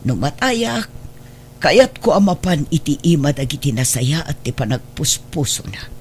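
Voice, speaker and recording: A woman's voice: low-pitched, animated, normal speed, slightly rough. An actor playing a stern, resolute older wealthy adoptive mother with an Ilocano accent in a radio drama. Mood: solemn, measured.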